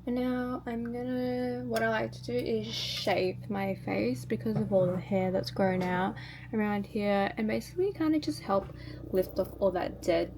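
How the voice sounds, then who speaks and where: A woman's voice: mid-range voice, steady tone, a little slow, a little mellow. Chatty woman vlogger with background noise. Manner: informal, chatty, self-narrating each step. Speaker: beauty vlogger hosting a routine video. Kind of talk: casual tutorial chat to viewers.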